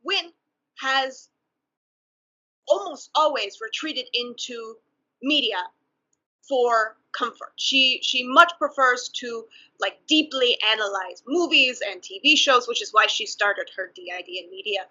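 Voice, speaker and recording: A woman speaking English with a Mexican accent in a deliberate, earnest way, often laughing softly between thoughts, in a casual conversation over a video call. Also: fairly high pitch, animated, slightly rough. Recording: muffled video call audio.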